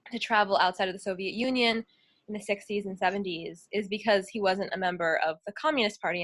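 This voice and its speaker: A young woman giving an academic talk while ill with the flu, her voice strained, speaking haltingly with many pauses and fillers. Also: medium pitch, a bit bright. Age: young adult. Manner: halting, many ums, apologetic. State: ill, losing her voice, clearing throat. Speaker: guest speaker. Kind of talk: academic talk on a video call.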